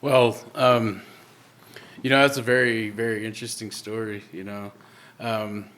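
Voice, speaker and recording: Low-voiced man, halting and earnest. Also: level tone, a bit bright. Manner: halting, many fillers and restarts, earnest. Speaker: guest speaker. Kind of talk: live podcast interview.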